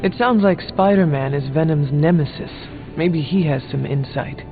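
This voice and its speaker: An actor playing a solemn, stoic demon-born warrior hero in a video game, with formal, old-fashioned phrasing. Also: male voice, a little high, moderate pace, narrow, tinny audio, natural voice. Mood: inquiring. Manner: formal, archaic phrasing without contractions. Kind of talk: video game dialogue acting. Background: background music.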